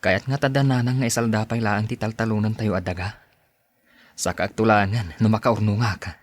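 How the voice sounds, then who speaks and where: A man playing an earnest, husky, low-voiced husband. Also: fairly even intonation, brisk, no room sound, natural voice. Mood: earnest, resolved. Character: hard-pressed working husband and father, earnest, dutiful. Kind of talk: podcast drama dialogue.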